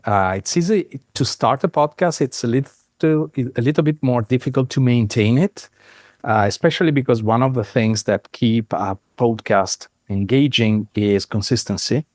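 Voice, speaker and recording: A middle-aged man with an Italian accent, a non-native English speaker, explaining things in a measured way as an interview guest with frequent "uh" pauses. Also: mid-range voice, darkish tone, dry recording. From Italy. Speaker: guest, software founder. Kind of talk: conversational explanation.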